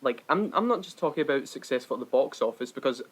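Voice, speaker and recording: A young man with an average-pitched voice. He speaks as a podcast co-host in a relaxed group discussion about films, in loose conversational phrases. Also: fast talker, breathy edge. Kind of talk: casual film discussion.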